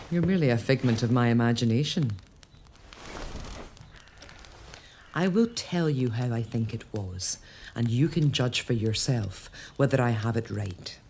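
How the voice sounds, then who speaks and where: An actress playing a composed early-1800s lady of a castle, speaking in a measured, gently defiant way. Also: extremely low pitch, a little hiss or hum, heavily acted. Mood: resolute. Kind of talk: spoken monologue to a ghost.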